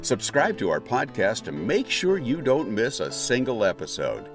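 A man's voice: mid-range voice, huge pitch swings, normal speed. A man reading a scripted podcast sign-off as the announcer. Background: guitar music.

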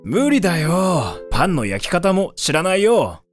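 A woman's voice: fairly low pitch, sing-song, a little slow, faint music behind, voice pushed down. A woman voicing a lazy, grumpy male farm animal with a drawling delivery in a children's cartoon. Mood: grumpy, unwilling.

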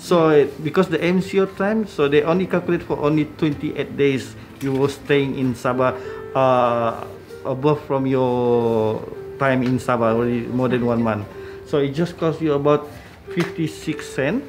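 A man's voice: mid-range voice, moderate pace, music in the background. A middle-aged Malaysian-accented man explaining casually in clipped, simplified English. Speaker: local helper explaining things.

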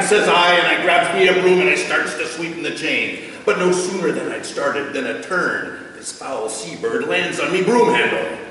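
A middle-aged man playing a salty, boastful pirate sailor, spinning a tall tale on stage in a hammy, drawn-out pirate dialect with a gravelly voice. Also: high voice, pushed and bright. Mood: exasperated, dramatic. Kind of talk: theatrical comic storytelling on stage. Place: small indoor stage before seated audience. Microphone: far camera. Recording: echoey hall, distant camera microphone.

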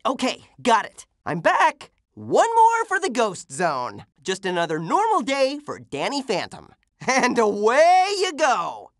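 A man's voice: very high-pitched, huge pitch swings, measured pace, no room sound, clean studio sound, full character voice. A man voicing a plucky, wisecracking teenage half-ghost boy superhero with a youthful voice for a video game. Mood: cocky. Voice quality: youthful, boyish. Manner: exclamatory, wisecracking. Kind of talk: video game character voice clips.

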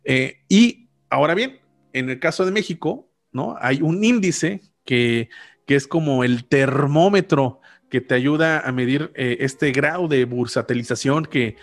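A man's voice: mid-range voice, measured pace. A man in his thirties with a Mexican accent, explaining things casually as a podcast host, often correcting himself as he goes, close on a studio microphone. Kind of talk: casual explanatory finance talk. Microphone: large studio microphone on a boom arm, close.

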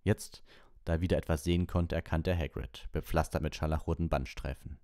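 A man's voice: extremely low pitch, steady tone, brisk, warm tone, no echo. A man who hosts an audiobook livestream, reading and talking close to the microphone. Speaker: audiobook reader and livestream host. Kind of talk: story narration and casual livestream chat.

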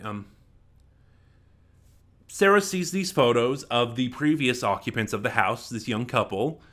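A man's voice: mid-range voice, sharp tone. A man in his twenties giving an unscripted review to camera, thinking aloud and correcting himself as he goes. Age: 20s. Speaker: audio drama reviewer. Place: small plain room at home, indoors. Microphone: desk microphone close in front.

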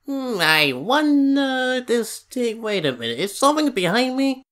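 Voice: mid-range voice, big pitch swings, unhurried, a bit bright. A voice actor performing an audition reel of cartoon characters in an exaggerated delivery.

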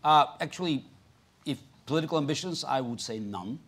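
Russian-accented male guest, 50s, halting speech with many fillers, theatre stage. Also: a little high, animated, a bit bright. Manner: halting, with many restarts and "you know" fillers. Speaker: guest speaker. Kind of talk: onstage conversation before an audience. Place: large theatre stage, indoors.

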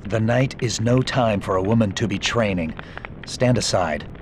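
An actor playing a stern, honorable ninja in a video game, speaking in a formal, solemn, measured way. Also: male voice, fairly low pitch, noisy background, close to an everyday voice. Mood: calm, formal. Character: stern, honorable male ninja avenger, calm and grim. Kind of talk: video game cutscene acting.

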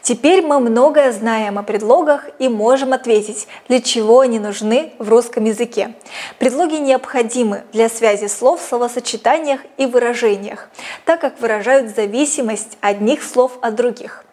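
High-pitched young woman presenting a lesson. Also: normal speed, dark tone. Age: young adult. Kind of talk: scripted educational narration. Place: small indoor studio set, seated. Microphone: lapel mic.

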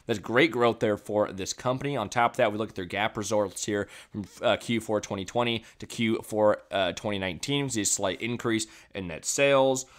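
Fast-talking man with a stuffy nose. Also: on the low side. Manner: quick, loose delivery with fillers and self-corrections. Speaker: stock analysis YouTuber. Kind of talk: casual screen-share commentary.

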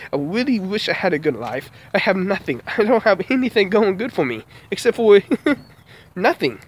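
A young man in his mid-twenties vents into a phone held at arm's length outside a house, his voice sitting a little high for a man. He sounds frustrated and dejected, circling back over the same complaints. Faint street noise hums behind him. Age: 20s. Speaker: small vlogger. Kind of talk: casual vlog rant. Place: outdoors beside a house.

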